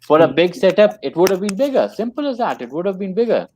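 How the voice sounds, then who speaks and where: A man's voice: sits high, big pitch swings, fast talker, darkish tone, slight background noise. A man working as a trainer, telling a story in a casual conversation. He speaks earnestly and often repeats his phrases. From Pakistan. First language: English.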